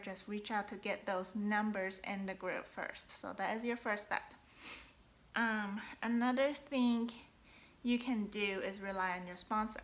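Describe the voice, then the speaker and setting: A young woman from Hong Kong giving casual business tips in a relaxed, chatty way, with many ums, filmed at close range in a bedroom. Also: medium pitch. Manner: chatty, many ums, talks with her hands. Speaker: online boutique seller. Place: bedroom, indoors, seated on a sofa.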